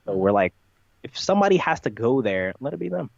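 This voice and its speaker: A man with an average-pitched voice talks as an interview guest. He rambles through playful, far-fetched claims in a matter-of-fact way. The sound is close and dry but a bit muffled and lo-fi. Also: sing-song, a little fast, vocal fry, no echo. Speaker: podcast guest. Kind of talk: conversational interview talk, playful tongue-in-cheek persona.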